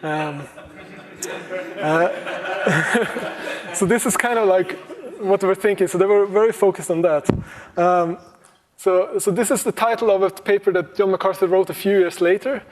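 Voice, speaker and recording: A man giving a relaxed conference talk on computing history, with many pauses, fillers and restarts and the odd chuckle. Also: high voice. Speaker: conference speaker.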